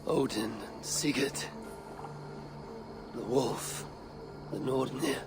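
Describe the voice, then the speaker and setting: An actor playing a weathered Viking warrior in a video game, calm, resolute and reflective, with a gravelly voice and measured, deliberate phrasing. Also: male voice, mid-range voice, loud music behind the voice, natural voice. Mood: solemn, reflective. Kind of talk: dramatic video game acting.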